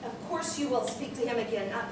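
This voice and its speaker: An actress playing a high-strung, well-off mother who is prickly and defensive and snaps into screaming fury. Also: expressive, brisk, lightly acted. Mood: soothing. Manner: sharp and clipped, erupting into screaming. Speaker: mother on the phone to her child. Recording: roomy, distant mic with background noise.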